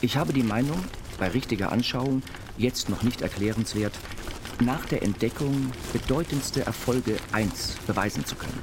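A man's voice: mid-range voice, normal speed, noisy background. A man giving a literary reading of written texts for a radio feature. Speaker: reader of an inventor's writings. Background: music.

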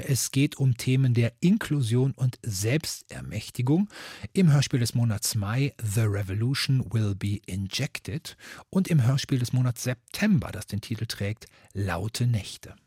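A man's voice: mid-range voice, lively intonation, measured pace, a little mellow, breathy edge, no room sound, clean studio sound. A man hosting as moderator, delivering a prepared, orderly presentation. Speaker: host, moderator.

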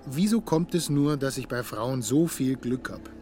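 A self-satisfied young ladies' man played by a male actor in an audio drama, speaking at an ordinary male pitch over music. He is chatty and vain, pausing for little hums as he shows off. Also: lightly acted. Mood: boastful. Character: smug, self-absorbed young womanizing sportsman bragging of conquests. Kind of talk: German audio drama dialogue.

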